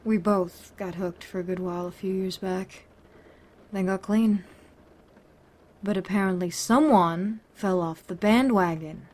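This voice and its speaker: A woman's voice: medium pitch, unhurried, darkish tone, lightly acted. A woman playing a wry, teasing, tough-talking woman in a fan audio drama, casual and blunt.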